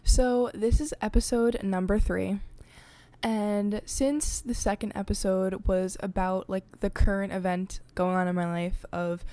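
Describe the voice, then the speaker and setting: A woman's voice: mid-range voice, fairly even intonation, a little slow, dark tone, dry recording. A young woman hosting her own podcast, chatting in a confiding way with lots of filler words. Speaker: podcast host. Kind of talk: casual chat. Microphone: desk podcast mic.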